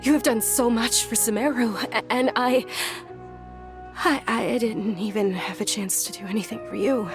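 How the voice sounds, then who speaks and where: Woman doing devout young lady in a game, breathy n halting, overwhelmed, highish voice over music. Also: natural voice. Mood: moved, humble. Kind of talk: video game voice acting.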